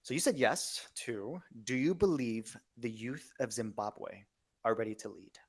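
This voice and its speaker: A man hosting a podcast interview in a casual, halting way, thinking aloud as he phrases things. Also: mid-range voice, lively intonation, slightly rough. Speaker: podcast host.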